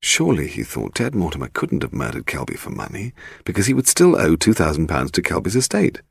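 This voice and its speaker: A man's voice: low voice, huge pitch swings, brisk, vocal fry, breathy edge, dry recording, clean studio sound. A man with an English accent reading a scripted mystery story as an audiobook narrator.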